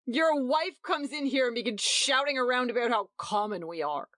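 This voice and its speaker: Woman playing a blustering husband, sharp, lively delivery, dry sound. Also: a little high, normal speed, no echo, pitched above the performer's own voice. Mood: angry. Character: angry, blustering middle-aged host husband.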